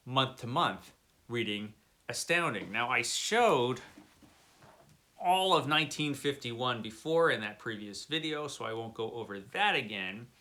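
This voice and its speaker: A man's voice: medium pitch, sharp tone. A man hosting a book vlog, talking in a casual, chatty review style with wry, playful asides.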